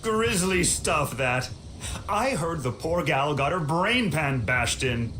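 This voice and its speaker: An overly cheerful company orchard worker, folksy and eager in his patter. His voice sits on the higher side and swings up and down a lot. There is a faint noise behind him. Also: lively intonation, slow, bright, forceful tone, exaggerated voice. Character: chirpy male corporate orchard worker.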